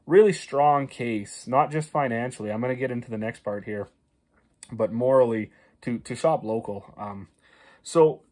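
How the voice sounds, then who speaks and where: Casual farmer vlogger, thirties, from Canada; chatty and rambling, with fillers and restarts, filmed on a phone in a small paneled room. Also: male voice, medium pitch. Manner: conversational, many fillers and restarts, stresses prices. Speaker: farmer and video host. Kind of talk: casual talking-head explainer. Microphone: phone camera at arm's length.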